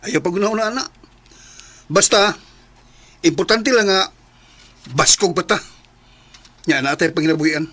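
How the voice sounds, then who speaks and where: An actor with a Filipino accent playing a jolly, generous, chummy friend in a radio drama. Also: male voice, high voice, a little slow, pauses often, a bit bright. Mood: jolly, reassuring. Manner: hearty, chummy. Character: jolly, generous male buddy bringing good news.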